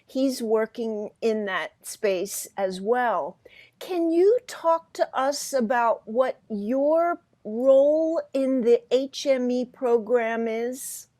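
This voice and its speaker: A woman interviewer asking a guest questions in a relaxed way over a video call. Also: a little high, takes it slowly, no room sound, clean studio sound.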